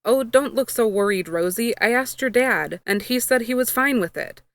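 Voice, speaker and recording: A woman's voice: a little high, expressive, normal speed, a bit bright, no echo. A woman voicing a pleading, cheeky young boy. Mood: reassuring. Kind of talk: storybook dialogue in fan fiction.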